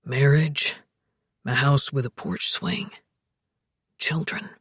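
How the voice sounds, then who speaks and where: A woman voicing a tender, earnest young man who talks quietly. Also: rumbling low, fairly even intonation, slow pace, slightly rough, no echo, clean studio sound, pitched below the performer's own voice, exaggerated voice.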